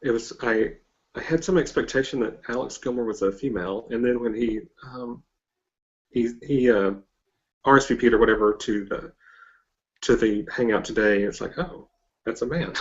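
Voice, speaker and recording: A man hosting a casual interview with halting, hesitant phrasing, heard over a dull online call. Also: mid-range voice. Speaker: interviewer, host. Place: online video hangout.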